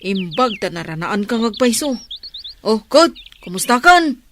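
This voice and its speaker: A frank, caring young village woman, acted out by a woman, chatty in radio-drama style with an Ilocano accent. Her voice is fairly high, with faint noise and birdsong behind it. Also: big pitch swings, measured pace.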